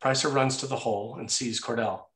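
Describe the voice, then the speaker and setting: Guy reading screenplay action lines as narrator, normal pitch, echoey room. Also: steady tone, fairly quick, a bit bright.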